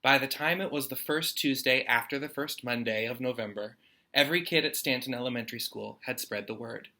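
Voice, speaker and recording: A man's voice: mid-range voice, brisk, pushed and bright. An American man hosting a storytime, reading a children's picture book aloud expressively to students.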